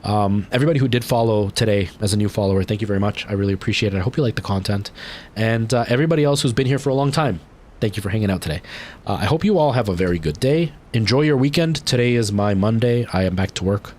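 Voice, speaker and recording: A young man casually chatting with viewers as he wraps up a game stream, rambling with lots of fillers and restarts and jumping higher when excited. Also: fast.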